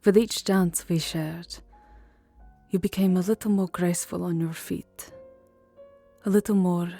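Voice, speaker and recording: A woman playing a lovelorn, melancholy vampire countess in an ASMR roleplay, speaking softly, formally and hesitantly, often near a whisper. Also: lowish voice, steady tone, measured pace, gravelly, soft background music. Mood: tender, romantic. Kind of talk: ASMR roleplay voice acting, second-person romance.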